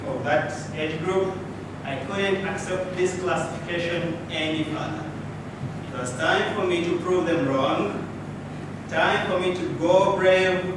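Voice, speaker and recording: Swahili-speaking man, echoey distant room. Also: a little high. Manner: storytelling with dramatic pauses and rhetorical questions. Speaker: club speaker. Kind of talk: prepared public speech. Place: small indoor meeting room with audience. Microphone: far camera, no mic. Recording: echoey room from afar, with background noise.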